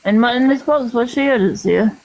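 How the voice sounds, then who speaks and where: A woman's voice: fairly high pitch, fast talker, noisy background. A woman hosting a phone live stream in casual, chatty talk, teasing the people who join. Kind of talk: casual chat.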